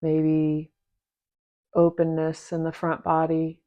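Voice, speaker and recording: Female meditation teacher, very flat, unvarying delivery, gentle instruction with long pauses, slightly muffled recording. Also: deep voice. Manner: gentle, unhurried, with long pauses between short phrases. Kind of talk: guided meditation, soft instruction.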